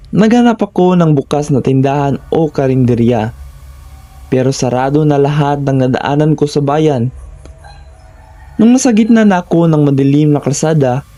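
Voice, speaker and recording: Male horror narrator, soft dark tone. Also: fairly high pitch. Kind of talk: scripted first-person horror narration.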